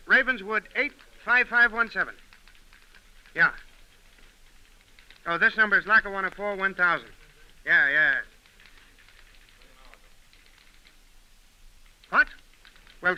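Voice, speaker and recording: A man's voice: high voice, lo-fi sound. An actor in a 1930s radio drama playing a frantic, pushy man in a newsroom. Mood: exasperated. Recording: old radio recording, faint music.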